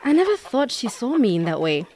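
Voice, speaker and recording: A woman's voice: on the high side, very animated, brisk, a little mellow, a little hiss or hum. A woman with a southern African accent playing a self-doubting, traditional middle-aged single mother in a radio drama.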